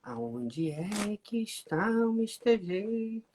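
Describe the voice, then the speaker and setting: A Brazilian man hosts a live stream in a casual, animated way and speaks straight to his audience. His Brazilian Portuguese accent carries into his English. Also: high voice, drawn-out words, a little hiss or hum. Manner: chatty, animated, addresses viewers as "gente". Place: home in the UK.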